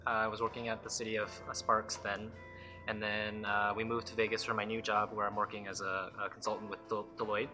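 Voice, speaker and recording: A man's voice: lowish voice, little pitch movement, fairly quick, music in the background. A young adult man from Nevada, born in South Korea, sincerely sharing his testimony in an interview, with fillers and small restarts. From Reno, Nevada, born in South Korea. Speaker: church member giving testimony. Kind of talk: interview testimony for a church video.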